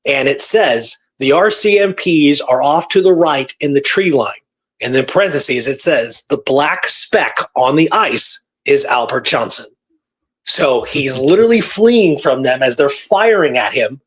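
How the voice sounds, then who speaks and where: A man's voice: mid-range voice, animated, unhurried, slightly rough, rough recording. A man hosting a radio show, telling a story in a casual, conversational way and repeating phrases for emphasis.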